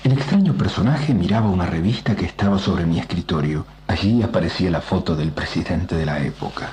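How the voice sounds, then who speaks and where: An actor playing a comics writer who narrates a strange visitation in a radio play, measured and suspenseful. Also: male voice, sits low, a little mellow, slight background noise, natural voice. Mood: quiet awe.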